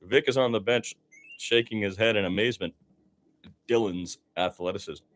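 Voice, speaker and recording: Low-voiced man calling basketball play-by-play. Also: moderate pace. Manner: narrates action in short clipped phrases, rising excitedly on big plays. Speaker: radio play-by-play commentator. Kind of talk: live basketball play-by-play commentary.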